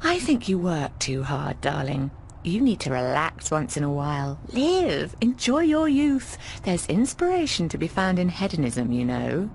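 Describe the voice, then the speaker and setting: A breezy, bawdy landlady from an adventure game. Her voice sits a little low and swings freely up and down, teasing and affectionate with everyone. Some background noise sits faintly under the recording. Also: measured pace, creaky, hardly any pauses, exaggerated voice. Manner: expressive, teasing, calls people darling. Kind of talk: conversational video game voice acting.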